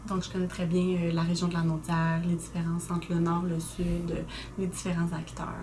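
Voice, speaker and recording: A woman's voice: lowish voice, completely flat delivery, darkish tone. A young woman from Quebec with a Québécois accent, a newly named executive director speaking earnestly in a radio interview, with frequent hesitations. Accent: Québécois French. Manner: earnest and articulate, with frequent "euh" pauses. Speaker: guest, new executive director. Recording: noisy community radio studio.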